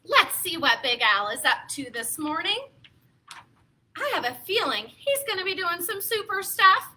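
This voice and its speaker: A woman reads aloud for young children as a storytime host. Her voice is extremely high and very bright, and she takes her time with slow phrasing. The delivery is theatrical and playful, with exaggerated expression. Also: very animated.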